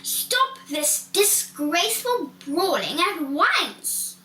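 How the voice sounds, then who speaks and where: A woman's voice: very high voice, sing-song, extremely slow, bright, forceful tone, exaggerated voice. A woman voicing a stern, authoritative male grizzly bear in a children's storybook, scolding and commanding. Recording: roomy, distant mic, faint noise.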